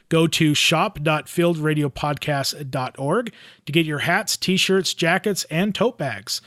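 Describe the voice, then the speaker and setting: Male podcast host, American, slightly high voice, close dry recording, presenting show news and guests. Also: fairly bright tone, no echo. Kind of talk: podcast announcements and interview.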